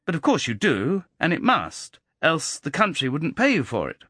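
A man's voice: a little high, wildly swooping pitch, moderate pace, fairly bright tone, breathy edge, no room sound, studio quality, pitched above the performer's own voice, exaggerated voice. A man voicing a precocious boy with a curiously precise treble, innocent and probing in manner. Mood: innocently needling.

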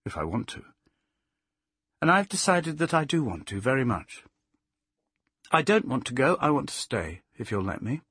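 A man's voice: medium pitch, very animated, fairly bright tone, slightly rough, no echo, full character voice. A man voicing an earnest, affectionate young woman in an audiobook, coaxing and stubbornly devoted, with emphatic speech. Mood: earnest, pleading. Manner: coaxing, emphatic, halting when unsure. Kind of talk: audiobook character voice.

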